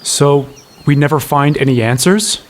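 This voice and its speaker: An actor playing an inquisitive, cheeky young apprentice in an audio drama, questioning and impatient. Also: male voice, a little high, a little slow, a little hiss or hum, natural voice. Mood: curious, frustrated.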